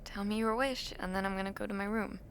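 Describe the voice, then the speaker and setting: A young woman acting an affectionate, teasing university student in an intimate roleplay, talking softly and playfully to the listener late at night. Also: mid-range voice, steady tone, quick pace, a little hiss or hum, natural voice. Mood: fond, sleepy. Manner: soft, playful, teasing, with breathy murmurs.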